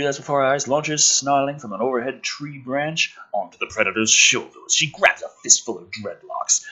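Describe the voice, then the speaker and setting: A man's voice: mid-range voice, pushed and bright, breathy edge, rough recording. A man reading a script aloud dramatically as host, with an emphatic, sardonic delivery and profane asides. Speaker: host reading a film script aloud with his own commentary.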